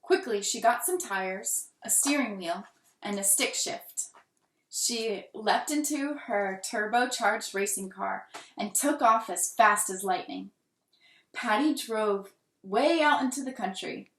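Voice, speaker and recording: A woman reading a children's picture book aloud with deliberate, dramatic emphasis and expressive delivery. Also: mid-range voice. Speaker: children's storybook reader. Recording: roomy, echoing room sound.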